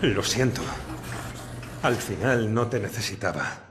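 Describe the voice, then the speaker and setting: An actor with a Castilian Spanish accent playing a gruff, sardonic middle-aged ghost detective in a video game, blunt in manner. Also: male voice, lowish voice, animated, unhurried. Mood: wry. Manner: blunt, swearing. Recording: music under the dialogue.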